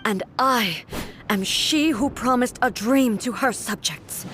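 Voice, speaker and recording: A woman's voice: a little high, slow, fairly bright tone, slight background noise. A woman playing a proud immortal warrior goddess in an anime game, grave, formal and commanding. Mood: grave, resolute. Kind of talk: dramatic anime game voice acting. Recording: music behind the voice.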